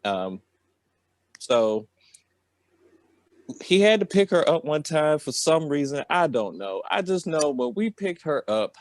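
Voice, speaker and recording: A man's voice: fairly high pitch, big pitch swings, takes it slowly, long pauses, no echo. Slangy man telling a story, close and dry. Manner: colloquial, slangy. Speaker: podcast storyteller. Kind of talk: casual rambling storytelling.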